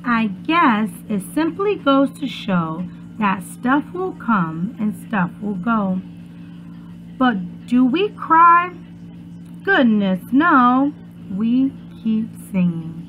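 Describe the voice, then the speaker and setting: A young woman, a preschool teacher, reads aloud and chats to small children in an expressive, encouraging way, on a muffled webcam recording made at home. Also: on the high side, extremely slow, noisy background. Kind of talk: children's read-aloud and chat. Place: small furnished room at home, indoors.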